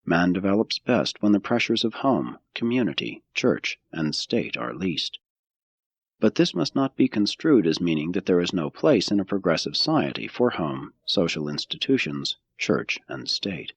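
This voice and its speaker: Deep, husky man narrating with expressive range. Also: normal speed, dry recording. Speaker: audiobook narrator. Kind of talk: scripted audiobook reading of religious philosophy.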